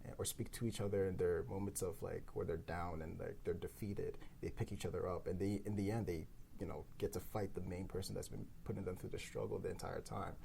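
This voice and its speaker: A man's voice: sits low, fairly even intonation, fairly quick, creaky, mellow, slight background noise. A man co-creating a podcast, talking through ideas in a thoughtful, rambling way with many fillers. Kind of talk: casual round-table discussion.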